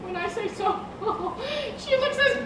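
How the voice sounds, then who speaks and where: Actress as aching, teasing old nurse for Shakespeare stage comedy; slow, theatrical, full of sighs, recorded far from the mic. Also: female voice, very high voice, expressive, full character voice. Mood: playful teasing. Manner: theatrical, exclaiming, drawn-out groans and sighs. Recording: distant, very echoey room with background noise.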